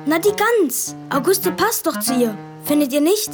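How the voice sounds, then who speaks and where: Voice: sits high, lively intonation, measured pace, over music. A performer playing an eager, innocent eight-year-old boy with a wide-eyed, naive manner in a children's radio play. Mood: eager, insistent.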